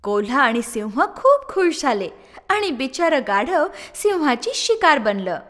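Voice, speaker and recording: A woman narrating a children's moral story in an expressive, sing-song storytelling manner. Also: high voice, a little slow. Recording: long reverb.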